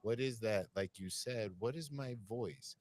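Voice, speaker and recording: A man with a deep voice, musing at length in a reflective conversation. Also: measured pace, darkish tone. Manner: musing, searching, repeats phrases.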